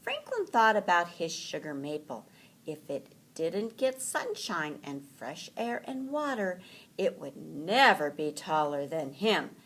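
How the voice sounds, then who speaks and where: A woman reading a children's story aloud in an animated, deliberate storytelling manner. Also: on the low side, slight background noise.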